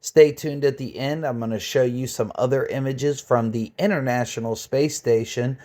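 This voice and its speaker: Regular guy with a mid-pitched voice walking you through a how-to, casual and step by step. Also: moderate pace, a little mellow. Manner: step-by-step, informal explaining. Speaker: tutorial host. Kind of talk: casual screen-recorded how-to narration.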